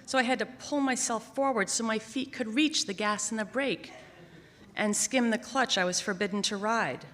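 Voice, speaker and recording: A woman's voice: a little high, normal speed, fairly bright tone, a little hiss or hum. Woman storyteller in a reverberant theater. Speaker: writer reading own essay. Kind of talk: live dramatic personal-essay reading. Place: theater stage at a library, live event. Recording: echoing theater with long reverb.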